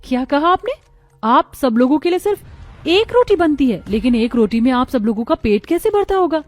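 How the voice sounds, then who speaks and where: High-voiced young cartoon bride, acted by a woman. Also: very animated, normal speed, dry recording. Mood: astonished. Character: young lazy, breezy daughter turned anxious new bride.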